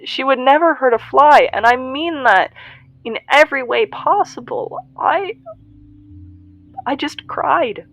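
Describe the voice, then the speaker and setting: A woman playing a young female survivor broadcasting in a podcast-style audio drama, confiding and emotionally raw, with halting delivery. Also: sits high, animated, no room sound, exaggerated voice, rough recording. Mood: anguished, tearful. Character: young female survivor and pirate radio broadcaster, grieving, defiant.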